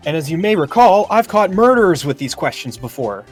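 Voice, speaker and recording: Young male defense attorney acted by a man for a courtroom game: snarky, earnest, animated, faint music behind. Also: fairly high pitch, a little fast. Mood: defiant. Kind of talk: amateur video game dub.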